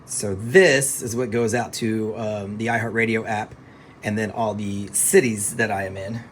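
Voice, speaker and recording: A man who works as a radio DJ, chatting casually on a phone livestream from his studio, relaxed and explaining things to viewers. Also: fairly low pitch, unhurried, slight background noise.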